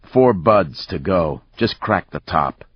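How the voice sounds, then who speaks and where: A man's voice: deep voice, expressive, slow, slightly rough, no room sound, muffled recording, exaggerated voice. A man voicing a violent, foul-mouthed older outlaw in an audiobook, curt and threatening.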